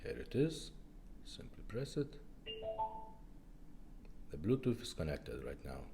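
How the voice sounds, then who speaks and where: A man's voice: sits low, very animated, takes it slowly, vocal fry, noisy background. A man giving a casual product demonstration as a technician, explaining step by step in short, simple phrases. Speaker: car radio repair technician demonstrating products.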